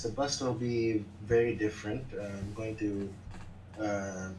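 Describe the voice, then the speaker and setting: A man from the Philippines, an artist guest, answering in short, soft-spoken replies over a voice call. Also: fairly low pitch, slight background noise. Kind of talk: casual chat over voice call. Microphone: voice chat. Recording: distant, roomy voice chat audio.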